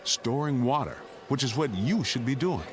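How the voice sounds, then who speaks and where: An actor playing a stern, resolute suburban father in a radio drama, speaking gravely and firmly. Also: mid-range voice, expressive, moderate pace, noisy background, close to an everyday voice.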